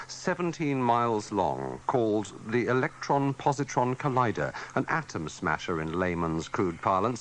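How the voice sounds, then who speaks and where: A man's voice: mid-range voice, fairly bright tone. A British man presenting a live radio news programme from a script in a studio. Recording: noisy archive live radio broadcast.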